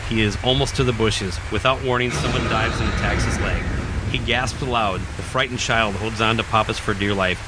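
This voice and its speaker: A man reading scripted horror narration in a dramatic, deliberate storytelling manner. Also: on the low side, steady tone, very noisy. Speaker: narrator, podcast host.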